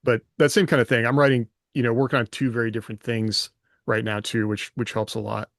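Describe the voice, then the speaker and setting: A man's voice: medium pitch, level tone, fairly quick, dry recording, clean studio sound. A man hosting a podcast in a casual, chatty style. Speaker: podcast host. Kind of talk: casual chat.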